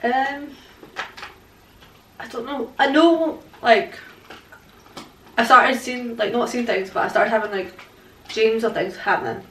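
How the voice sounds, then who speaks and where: A young woman answers questions in a casual interview, pausing often and filling the gaps with fillers. She sits away from the camera in a living room, so her voice sounds distant and roomy over a faint hiss. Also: fairly high pitch, fairly even intonation, fairly bright tone. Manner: hesitant, lots of fillers. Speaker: guest, paranormal investigator. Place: living room, indoors, on a sofa. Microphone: far camera.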